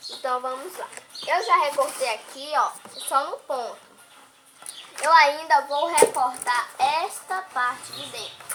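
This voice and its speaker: A woman's voice: sits high, measured pace. Chatty young girl making crafts. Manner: chatty, talks to viewers as she cuts and draws. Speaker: crafts vlogger. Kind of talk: casual craft tutorial. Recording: noisy home recording.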